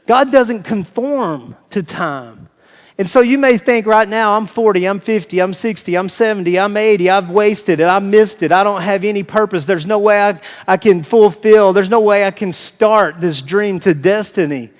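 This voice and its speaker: An American man delivers a sermon in a notably high register for a male voice. His phrasing is informal and conversational, circling back on phrases to drive them home. The sound carries the long reverb of a large hall. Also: normal speed. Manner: informal, repeats phrases for emphasis. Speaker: pastor preaching to a congregation. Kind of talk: conversational sermon with scripture reading. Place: large reverberant room, preaching live.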